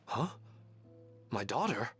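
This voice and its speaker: A man playing a gentle, troubled father in a video game, speaking in a hesitant, heartfelt way. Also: mid-range voice, big pitch swings, slow pace. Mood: confused. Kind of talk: English video game voice acting. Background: music.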